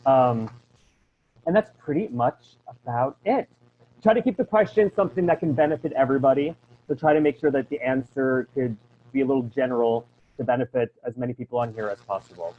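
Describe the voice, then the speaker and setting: A man's voice: mid-range voice, brisk, slight background noise. A man casually hosting a webinar in an informal style, heard through dull, lo-fi video call audio. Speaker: webinar host.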